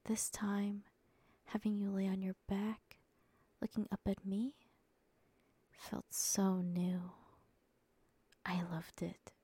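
A woman whispering an erotic story softly and dominantly into a close mic. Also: mid-range voice, flat delivery, takes it slowly, pauses often, husky, dry recording. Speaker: erotic audio narrator.

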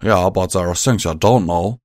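A man voicing a rough-spoken young male pony with a drawl, strained and emotional. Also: low-pitched, moderate pace, darkish tone, dry recording, clean studio sound, close to an everyday voice.

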